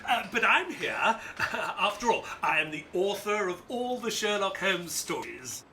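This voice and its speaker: An actor playing a polite, earnest detective's companion who narrates an audio drama in a formal, theatrical storytelling manner. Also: male voice, sits high, big pitch swings, measured pace, bright, forceful tone, a little hiss or hum, exaggerated voice. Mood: polite, flustered.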